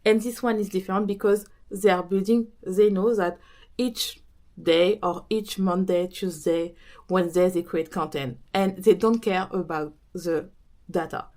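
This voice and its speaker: A woman with a French accent, a native French speaker, talking casually about consistency as a podcast host and coach, often pausing and restarting as she searches for words. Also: on the low side, steady tone, a little mellow. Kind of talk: casual talk to camera.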